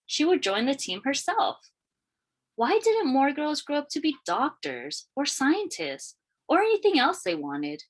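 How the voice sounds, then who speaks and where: A woman reading a children's picture book aloud in an expressive, deliberate storytelling manner. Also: high-pitched. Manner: expressive, questioning lifts and deliberate phrasing. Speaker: read-aloud storyteller, teacher.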